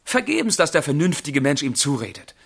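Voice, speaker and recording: A man's voice: a little high, very animated, fairly quick, creaky, fairly bright tone, slightly rough, dry recording, studio quality, heavily acted. An actor playing a passionate, brooding young man of the 1770s in a literary radio drama, with fervent, declamatory delivery. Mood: fervent, arguing. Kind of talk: literary radio drama, dialogue and letter monologue.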